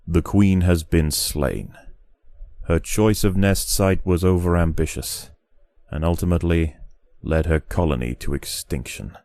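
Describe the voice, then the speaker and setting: A man reading scripted narration in the style of a nature documentary, with a measured, dramatic and solemn storytelling delivery. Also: low voice, mellow, no room sound, clean studio sound.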